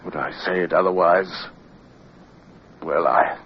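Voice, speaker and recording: Deep-voiced gruff British naval officer, old radio. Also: male voice, fairly even intonation, unhurried. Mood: humbled, earnest. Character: gruff, honest British naval lieutenant, humbled and generous. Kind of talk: old radio drama acting. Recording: noisy, narrow-band old radio recording.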